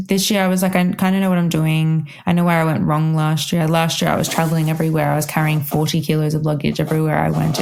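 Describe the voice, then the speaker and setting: Chatty young Australian woman, lowish voice. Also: creaky, darkish tone. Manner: chatty and upbeat, with frequent "like" fillers. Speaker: guest, wedding violinist. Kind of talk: casual interview chat.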